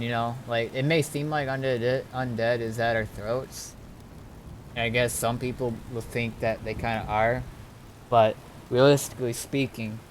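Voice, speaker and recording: Man thinking aloud, noisy background. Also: medium pitch. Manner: halting, deliberating, mulling things over. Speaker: streamer and roleplay gamer. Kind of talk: casual game stream talk, thinking aloud.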